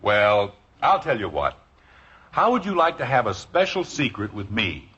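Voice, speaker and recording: A man playing a gentle, indulgent middle-aged father in a 1950s radio sitcom, fond and wryly hesitant, on a dull old recording. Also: fairly low pitch, sing-song, a little slow, noisy background. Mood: fond, patient.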